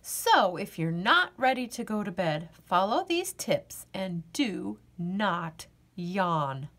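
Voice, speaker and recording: A woman reading a children's picture book aloud, playful and expressive, acting out the yawns as she goes. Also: mid-range voice, takes it slowly.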